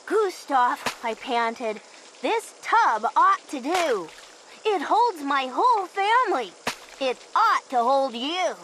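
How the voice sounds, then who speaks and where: Lady doing a kids book read aloud, super high voice, unhurried n theatrical, noisy recording. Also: big pitch swings. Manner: theatrical, dramatic delivery for children. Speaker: storyteller reading aloud. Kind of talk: expressive children's picture book read-aloud.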